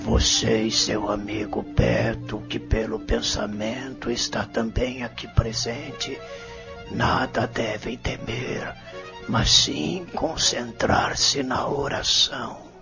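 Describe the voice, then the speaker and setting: A man plays a benevolent luminous spirit in an audio drama, fatherly and solemn. The voice is unhurried and creaky, trailing into breath. Music plays clearly behind it. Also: mid-range voice, flat delivery. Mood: solemn, encouraging. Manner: solemn, reassuring, breathy at phrase ends. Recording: dull lo-fi audio drama recording.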